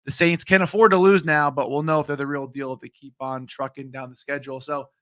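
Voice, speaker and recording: Slightly hoarse young guy talking bets. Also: a little high, steady tone, quick pace, fairly bright tone, no room sound, clean studio sound. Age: late 20s. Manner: casual, rambling, many ums. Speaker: sports betting show host. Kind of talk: live-streamed betting picks talk.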